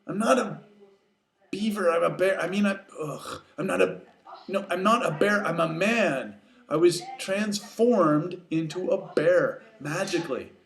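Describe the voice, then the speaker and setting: A man's voice: mid-range voice, big pitch swings, slow pace, hardly any pauses, reverberant. A man voicing an indignant young man turned bear, stammering and emphatic in exasperation. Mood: exasperated.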